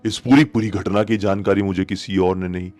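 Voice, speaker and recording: A man's voice: sits low, steady tone, brisk, a little mellow. A man narrating a horror story in a conversational, suspenseful way, repeating phrases for emphasis. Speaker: horror storyteller, channel host. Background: music.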